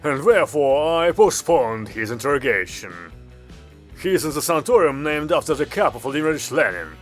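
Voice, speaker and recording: Man doing a weary male commander, curt orders, dry sarcasm, lively pitch. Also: fairly quick, soft background music. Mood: commanding.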